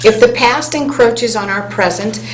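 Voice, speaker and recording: A woman on a hypnosis conference panel, speaking earnestly and reading an inspirational passage aloud, slowing down on key words. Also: fairly high pitch. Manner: earnest, inspirational, speeds up and slows for emphasis. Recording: roomy hall sound, faint hum.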